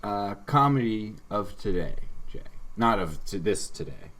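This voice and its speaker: A man with a low-pitched voice talks casually as a podcast host. His delivery is dry and joking, with a sarcastic streak running through the banter. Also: animated, a little slow, fairly bright tone.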